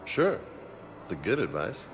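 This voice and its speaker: A man's voice: on the low side, big pitch swings, takes it slowly, soft background music, exaggerated voice. An actor playing a cocky young male marine who jokes casually but stays loyal, in a game cutscene. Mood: easygoing. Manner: casual, joking, laughs easily. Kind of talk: video game cutscene acting.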